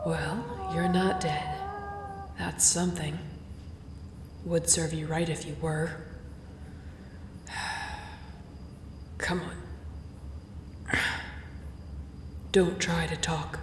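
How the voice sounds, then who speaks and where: A woman's voice: sits low, extremely slow, long pauses, background noise, heavily acted. A woman playing a grumpy, tough shapeshifter guard who cares begrudgingly, speaking curtly and with weary sarcasm in an intimate audio roleplay. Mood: gruff, irritated concern. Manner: curt, sarcastic, dry, weary.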